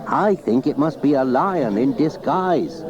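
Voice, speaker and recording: A man acts out an indignant countryman from a children's storybook, exclaiming and grumbling emphatically. The pitch moves in lively swings, over a slightly dull cassette sound. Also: a little slow, a crowd in the background, close to an everyday voice. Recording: dull cassette transfer with music.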